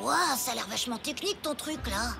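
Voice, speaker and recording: A woman's voice: sits high, animated, fairly quick, gravelly, loud music behind the voice, exaggerated voice. A woman voicing a young schoolboy best friend, dry, teasing and down-to-earth, in a French cartoon. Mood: matter-of-fact.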